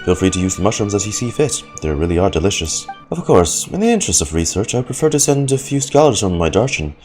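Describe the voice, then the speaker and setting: A man's voice: fairly low pitch, big pitch swings, fast talker, darkish tone. A man voicing a helpful, knowledgeable scholar from a fantasy game, polite and explanatory, reading the dialogue aloud. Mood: helpful, informative. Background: game music.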